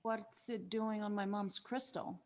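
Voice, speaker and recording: A woman's voice: mid-range voice, fairly even intonation, measured pace, close to an everyday voice. A woman playing a blunt, impatient young granddaughter in a scripted drama table read. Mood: puzzled.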